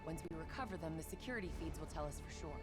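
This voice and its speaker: A woman's voice: deep voice, expressive, rapid-fire. An actress playing an efficient female tactical operator in a video game, clipped and businesslike. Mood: focused. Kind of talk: video game cutscene acting. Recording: music behind the voice.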